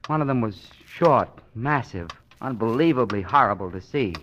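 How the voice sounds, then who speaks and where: An actor playing a nervous, frightened, fatalistic city employee in an old-time radio crime drama, agitated and halting. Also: male voice, medium pitch, animated, fairly bright tone, highs cut off, natural voice. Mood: terrified. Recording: noisy vintage radio recording with clicks.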